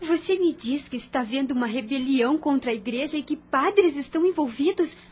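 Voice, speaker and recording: A woman's voice: high voice, lively intonation, fairly quick, a little mellow. A woman playing a worried, devout young sister who questions her brother anxiously in an audio drama.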